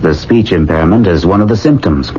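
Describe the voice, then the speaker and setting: A man acting in a 1970s radio drama as a calm, practical doctor, steady and matter-of-fact. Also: sits low, brisk, close to an everyday voice. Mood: reassuring. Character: calm, practical male doctor and family confidant. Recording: muffled, noisy old radio broadcast.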